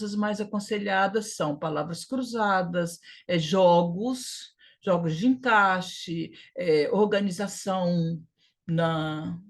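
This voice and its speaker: A Brazilian woman teaches a language class in an informal, didactic way. She takes her time, with a slow overall pace and a mid-range pitch. Key words get repeated and broken into firmly stressed syllables. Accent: Brazilian Portuguese. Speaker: English teacher.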